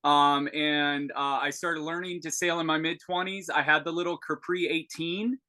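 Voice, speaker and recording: A man in his forties talking over a video call as a podcast guest, pausing often with fillers and restarts. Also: on the high side, steady tone, pushed and bright. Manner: halting, many fillers and restarts. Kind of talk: casual video-call interview.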